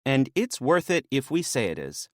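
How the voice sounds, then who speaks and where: A man voicing a sharp-tongued, bossy female maenad with a dry, mocking manner. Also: medium pitch, wildly swooping pitch, moderate pace, dry recording, voice pushed up. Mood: sarcastic.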